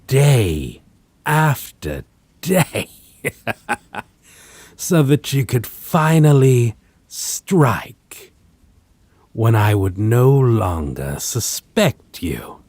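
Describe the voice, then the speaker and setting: A man playing a possessive, menacing vampire in a dramatic audio roleplay, speaking in a theatrical, condescending manner with a husky, breathy voice. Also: mid-range voice, a little mellow, dry recording, full character voice. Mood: mocking, amused. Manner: slow, theatrical, archaic wording, condescending endearments. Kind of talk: dramatic audio roleplay acting addressed to listener.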